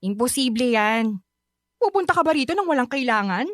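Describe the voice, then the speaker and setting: A woman's voice: on the high side, expressive, a little fast, fairly bright tone, no room sound, clean studio sound. A woman playing a sassy, grumpy young Filipina in radio drama dialogue, bantering sarcastically with a Filipino accent. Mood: grumpy, suspicious. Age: young adult.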